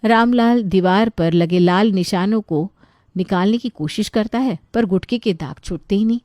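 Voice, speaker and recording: Female narrator for scripted bedtime-style tales, soft dark timbre, very dry studio-like sound. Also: mid-range voice, normal speed, no echo. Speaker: story narrator.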